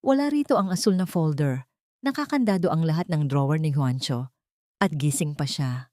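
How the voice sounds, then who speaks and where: A meek young daughter from an audio drama, played by a woman narrator, timid and faltering. Her voice is low-set and recorded dry. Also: expressive, normal speed, dark tone, no room sound. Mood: feigned fear. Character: frightened young daughter feigning meek obedience.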